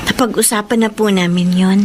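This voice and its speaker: A woman playing a gentle, respectful and emotional young girlfriend in a Filipino radio drama. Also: medium pitch, fairly even intonation, brisk, noisy background, close to an everyday voice. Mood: hesitant, troubled. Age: young adult. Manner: soft-hearted, polite, hesitant, emotional. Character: gentle, respectful young woman torn over her boyfriend.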